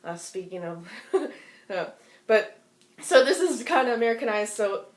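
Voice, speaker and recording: A woman's voice: fairly high pitch, big pitch swings, measured pace. A woman from Hawaii, a musician, chatting casually between songs in a rambling way, with restarts and light laughs. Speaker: musician and performer. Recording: roomy echo with faint ukulele.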